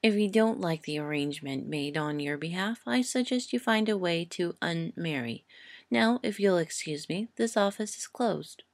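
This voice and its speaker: A woman reading an audiobook story and voicing a brisk male wizard clerk, formal, matter-of-fact and dismissive. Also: lowish voice, lively intonation, dry recording.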